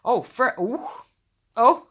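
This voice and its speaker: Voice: medium pitch, big pitch swings, slow pace, a bit bright, breathy edge. A podcast host from Croatia with a Croatian accent, talking in a casual, effusive and friendly way, a little self-conscious about speaking English. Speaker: podcast host, tarot reader. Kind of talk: casual solo podcast chat.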